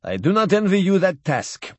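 A man's voice: sits high, big pitch swings, normal speed, dry recording, voice pushed up, heavily acted. A man voicing a proud, formal old admiral who speaks in clipped phrases without articles. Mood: ceremonious. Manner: clipped speech dropping articles.

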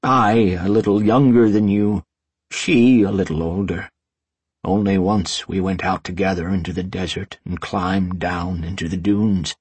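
A man voicing a frail old wizard master in a fantasy story, commanding and wistful, with an emphatic, measured delivery. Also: sits low, fairly even intonation, a little mellow, breathy edge, no echo. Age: older. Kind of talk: storybook character dialogue.

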